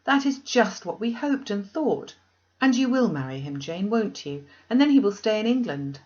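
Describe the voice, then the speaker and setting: Woman acting an emphatic, concerned young gentlewoman, muffled. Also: mid-range voice, big pitch swings, moderate pace, pitched above the performer's own voice, close to an everyday voice. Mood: eager. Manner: emphatic, questioning. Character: concerned, outspoken, affectionate sister, a young gentlewoman.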